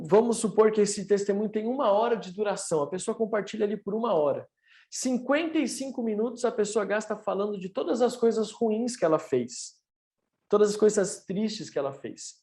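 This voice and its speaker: A man's voice: sits high, brisk, dark tone. A man in his thirties, a pastor, sharing his testimony in an earnest, preaching way for an online church service. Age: 30s. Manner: earnest, preacherly, rising emphasis.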